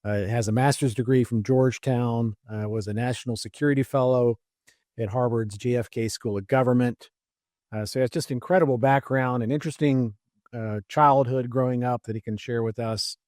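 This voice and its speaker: A man's voice: mid-range voice, steady tone, normal speed, darkish tone, no echo, studio quality. Chatty middle-aged male podcast host. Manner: friendly, filler-heavy, enthusiastic. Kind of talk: casual interview introduction.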